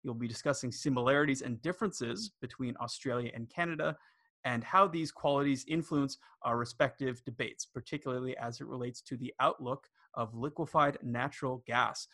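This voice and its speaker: A man's voice: mid-range voice, normal speed, fairly bright tone, no echo, studio quality. A man hosting a podcast, reading out a formal introduction of his guest. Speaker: podcast host.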